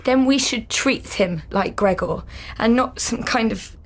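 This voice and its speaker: A woman's voice: on the high side, measured pace. A young woman playing a protective, exasperated sister who takes charge in a radio drama. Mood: pleading, firm. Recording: loud music under the voice.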